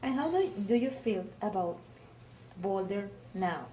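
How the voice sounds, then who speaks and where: Woman, interviewer, very mellow dark tone, medium pitch, halting questions, faint noise. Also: expressive, rough recording. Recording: faint background noise, room ambience.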